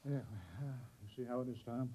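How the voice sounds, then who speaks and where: An actor playing a fussy, flustered, bossy small-town mayor in 1950s radio drama style. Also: male voice, mid-range voice, brisk, dark tone, slightly rough, lightly acted. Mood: insistent, persuading. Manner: fussy, hesitant, exclamatory. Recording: muffled old radio recording.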